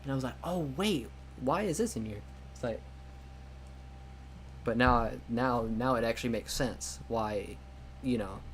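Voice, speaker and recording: A young man chatting loosely while he streams a game, circling back on his words and laughing easily. His voice sits at an ordinary middle pitch. A faint hum sits under his speech. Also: measured pace, long pauses, a bit bright. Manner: rambling, full of restarts and "like". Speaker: streamer playing a game mod. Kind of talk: casual chat over a game stream.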